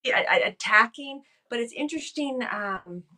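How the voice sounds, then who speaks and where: A woman in her fifties, a political scientist, talking thoughtfully over a video call from a room at home, often pausing to restart her phrases. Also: on the high side, expressive, sharp tone. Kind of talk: casual video call interview. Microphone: video call webcam.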